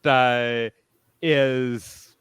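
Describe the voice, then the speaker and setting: A man's voice: medium pitch, steady tone, extremely slow, a bit bright, smooth, no echo, studio quality. A man speaking as a panelist in a casual, enthusiastic fan discussion.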